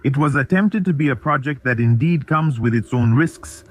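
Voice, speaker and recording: A man's voice: mid-range voice, animated, normal speed, faint music behind. A man walking viewers through a car in a plain, matter-of-fact explanation. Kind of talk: explanatory walkthrough.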